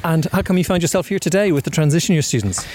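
A man's voice: fairly high pitch, quick pace, darkish tone. A man interviewing guests at an outdoor event, asking conversational questions.

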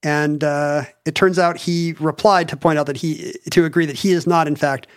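A man's voice: on the high side, fairly even intonation, moderate pace, clear, resonant tone, dry recording, clean studio sound. A man co-hosting a podcast in casual chat, wry and joking, often stopping to restart his sentences. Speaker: podcast co-host.

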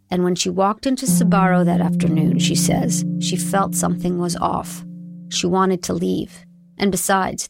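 A woman with a lowish, gravelly voice narrates a documentary story in a reflective, quietly wry manner. Her delivery is scripted and steady. Guitar music sounds clearly behind her. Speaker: journalist narrator.

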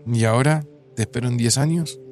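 A man's voice: mid-range voice, fairly quick, darkish tone, faint music behind, natural voice. An actor playing a wry, affectionate Argentine man in a naturalistic audio drama. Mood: calm, affectionate. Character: wry, affectionate male friend of a time traveller.

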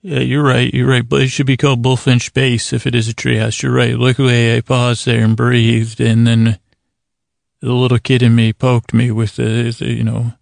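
A man hosts a bedtime podcast in a creaky, fry-laden voice that barely rises or falls. He meanders, trips over words and interrupts himself, keeping a lulling, soothing tone. The sound is dry, with no room around it. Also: mid-range voice, level tone, normal speed, dry recording. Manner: meandering, stammering, self-interrupting. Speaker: podcast host. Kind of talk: rambling bedtime monologue.

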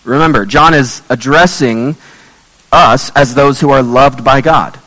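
Hoarse male preacher in a reverberant hall. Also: mid-range voice, sharp tone. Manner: earnest, measured preaching with scripture readings. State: losing his voice. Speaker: pastor. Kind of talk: sermon.

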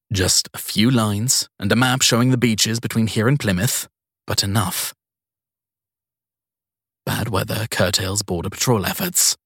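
Male narrator, low pitch, husky edge, measured scripted storytelling, audiobook style. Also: a bit bright, no echo, clean studio sound.

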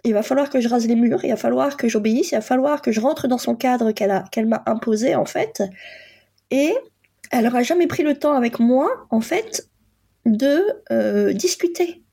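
A Polish-born woman in her forties who is a coach, telling her own story in a halting, reflective way with frequent pauses. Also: on the high side, vocal fry, dark tone. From Poland. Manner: halting, many pauses and fillers. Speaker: guest, coach and psychotherapist. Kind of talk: reflective personal testimony.

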